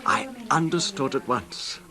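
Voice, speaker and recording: An actor playing an older wealthy English husband, haltingly recounting his betrayal in an anguished, formal manner in a radio drama. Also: mid-range voice, a crowd in the background, lightly acted. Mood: heartsick, haunted. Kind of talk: 1970s radio drama acting, narrating a confession. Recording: noisy 1970s radio drama recording.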